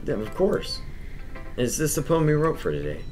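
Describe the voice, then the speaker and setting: A man's voice: on the high side, sing-song, normal speed, darkish tone, soft background music, voice pushed up. A man voicing a polite, awkward young male student in a visual novel, hesitant and agreeable. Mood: awkward, grateful.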